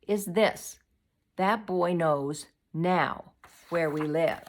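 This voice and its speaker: A woman's voice: lowish voice, animated, measured pace. A grim, weary storybook father, performed by a woman. He speaks with solemn reproach and plain rustic phrasing, stern toward his family. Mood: solemn, accusing.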